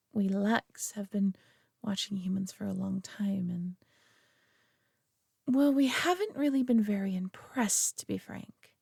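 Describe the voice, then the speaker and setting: A woman's voice: mid-range voice, vocal fry, warm tone, breathy edge, dry recording, studio quality. A woman playing a proud, possessive but caring superior alien being in a roleplay audio drama, speaking in a haughty, condescending yet tender manner.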